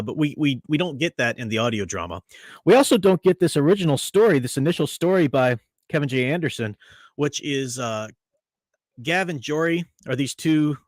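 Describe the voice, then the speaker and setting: A man's voice: medium pitch, no room sound. Rambling male podcast host, dry sound. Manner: rambling, many fillers and restarts. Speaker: podcast host reviewing an audio drama. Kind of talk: casual review chat. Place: home.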